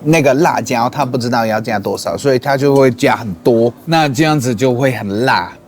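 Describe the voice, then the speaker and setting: A man chatting as a guest, relaxed and storytelling, mixing English and Mandarin. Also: medium pitch, a little fast, slight background noise. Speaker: guest, son. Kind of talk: casual chat.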